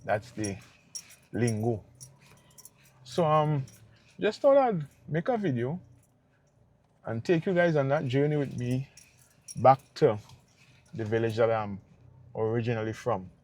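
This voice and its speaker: A man from Tobago with a Tobagonian accent, talking casually to his viewers in a relaxed, rambling way. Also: mid-range voice, unhurried, long pauses. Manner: relaxed and rambling, checks in with "right?". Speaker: vlogger. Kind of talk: casual vlog talk to viewers.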